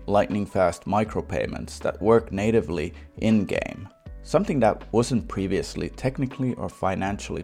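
Male podcast host, low-pitched with noticeable vocal fry, presenting to listeners. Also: a little mellow. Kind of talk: podcast intro, sponsor read and interview.

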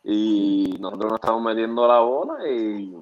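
A young man, a basketball player being interviewed, answering in a low-key, agreeable way. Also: lively intonation, moderate pace, muffled recording.

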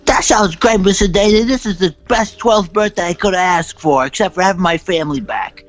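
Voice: mid-range voice, normal speed, natural voice. A performer voicing a wheedling twelve-year-old shoeshine boy in an improvised radio drama parody. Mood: sly, insinuating. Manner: insinuating, eager, melodramatic.

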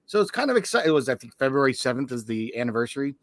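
A man co-hosting a relaxed podcast, still tired from an illness but eager and rambling, with lots of fillers. His voice sits in a middle range. The sound is dry and close. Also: medium pitch, very fast, dry recording. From Canada. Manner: rambling, enthusiastic, many fillers. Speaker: podcast co-host. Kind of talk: casual podcast chat.

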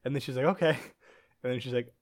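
A man's voice: mid-range voice, fast, a little mellow. A young man with an American accent, a podcast guest telling a story in casual chat and laughing often as he goes.

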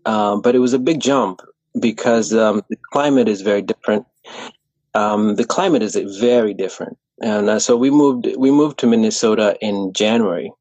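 A man's voice: on the low side, normal speed, darkish tone, no room sound, low-quality recording. A young man from Kenya, a running coach, talking casually on a video call with an easygoing, rambling manner.

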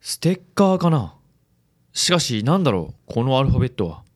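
A man playing a calm, suave detective's associate in a radio drama, speaking in a measured, reflective way. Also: medium pitch. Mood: pondering.

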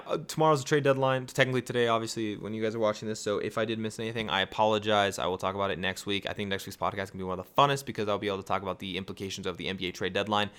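A tired young man with a raspy voice, hosting a casual sports podcast and rambling through excited rhetorical questions. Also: lowish voice. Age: young adult. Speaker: podcast host.

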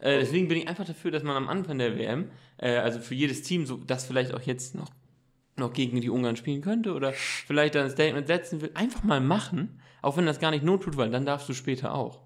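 A man's voice: mid-range voice, quick pace. A man co-hosting a casual podcast, giving opinions in a rambling way with many broken-off sentences. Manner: rambling, breaks off and restarts sentences. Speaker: podcast co-host.

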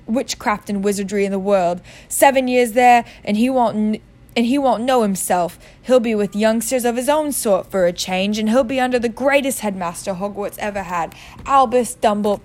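A woman voicing a huge, warm-hearted bearded male giant with rough, rural speech. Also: medium pitch, moderate pace, natural voice. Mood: indignant.

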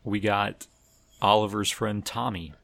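A man's voice: lowish voice, steady tone, a little slow, gravelly, fairly bright tone, no echo. A man hosting a podcast, chatting casually and conversationally as he recaps TV episodes. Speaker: podcast host. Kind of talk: casual chat about TV episodes.

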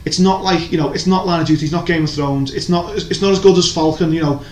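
Guy rambling about tv, bit higher voice, echoey room with faint noise. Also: fairly even intonation, fast talker. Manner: rambling, many restarts and fillers, opinionated. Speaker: podcast co-host. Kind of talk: casual chat reviewing TV shows.

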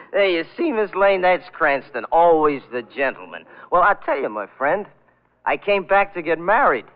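A man's voice: fairly high pitch, very animated, unhurried, dull audio. An actor playing a bitter, self-pitying young man who narrates his own downfall in old-time radio thriller style. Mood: bitter, tipsy. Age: young adult. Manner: brooding, dramatic, self-pitying. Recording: band-limited vintage radio recording.

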